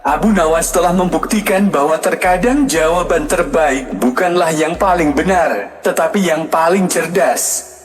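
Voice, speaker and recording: A man's voice: fairly high pitch, a little slow. A man narrates a folktale from a script as a storyteller. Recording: long reverb, music behind. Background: music.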